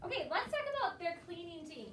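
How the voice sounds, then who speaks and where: A woman's voice: extremely high pitch, sing-song, quick pace, fairly bright tone. A woman teacher leading a classroom discussion with young pupils, prompting them with questions and repeating their answers. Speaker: elementary school teacher. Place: indoor school classroom. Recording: roomy, distant mic, noisy classroom.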